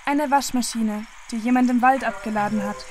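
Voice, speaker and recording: Actress as higher-pitched detached woman narrator. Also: fairly even intonation, fairly quick, slight background noise, lightly acted. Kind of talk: scripted literary radio play narration. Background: faint music.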